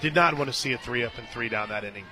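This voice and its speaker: A man's voice: medium pitch, very fast. An American man working as a radio play-by-play announcer, calling a live game with conversational, folksy asides and emphatic delivery. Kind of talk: live sports commentary with chatty asides. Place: stadium radio booth.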